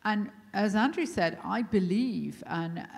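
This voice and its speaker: A woman's voice: mid-range voice, unhurried, vocal fry. A woman speaking earnestly and with emphasis as a panel speaker at a conference. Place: large conference hall. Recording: reverberant hall.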